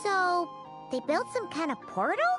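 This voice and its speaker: A tiny, very high-pitched fairy companion, squeaky and naive like a small child. The words come slowly, with a cute cartoonish lilt suited to a fantasy game, over clearly audible music. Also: female voice, very animated, full character voice. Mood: puzzled. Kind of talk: video game voice acting.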